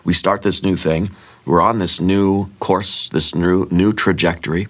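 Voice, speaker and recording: Soft-spoken deep-voiced man, congested. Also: steady tone, a little slow. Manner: musing, gently reassuring, thinks aloud with fillers. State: congested, sore throat. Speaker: tarot reader. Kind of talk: soft-spoken ASMR tarot reading.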